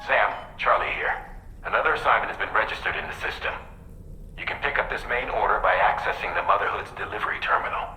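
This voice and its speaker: An actor playing a brisk dispatcher in a video game, calling in over the radio. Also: male voice, bright, forceful tone. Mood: brisk, businesslike. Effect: radio call. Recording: echoey radio call with faint rumble.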